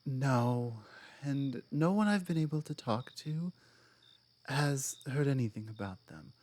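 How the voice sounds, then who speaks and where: A woman acting as a proud orc woman from a distant tribe, made for an ASMR audio roleplay. She speaks very slowly and deliberately, with measured pauses. Her manner is earnest, firm and stubborn. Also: a bass voice, expressive, darkish tone, full character voice. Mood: somber, reflective. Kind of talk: ASMR audio roleplay, one-sided dialogue.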